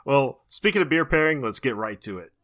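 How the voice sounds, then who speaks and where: A man's voice: mid-range voice, animated, a little fast, a bit bright, no room sound, clean studio sound. A man co-hosting a film podcast in casual, appreciative conversation with guests and a fellow host. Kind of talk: casual chat.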